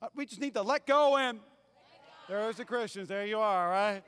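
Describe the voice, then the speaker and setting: A man's voice: sits high, moderate pace, fairly bright tone, clear, resonant tone. A pastor from North Dakota preaching a humorous sermon, playfully quizzing his congregation with call-and-response. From North Dakota, USA.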